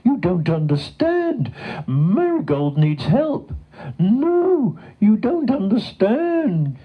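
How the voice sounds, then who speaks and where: Man putting on a frantic high-pitched cow. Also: wildly swooping pitch, takes it slowly, slightly rough, muffled recording, heavily acted. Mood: insistent. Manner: urgent, insistent calling. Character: frantic, loyal female cow calling for help.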